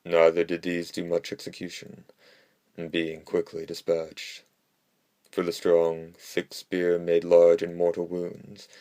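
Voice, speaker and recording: A man reading classical history aloud as scripted narration, in a measured monotone. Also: sits low, clean tone, no echo. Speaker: audiobook narrator.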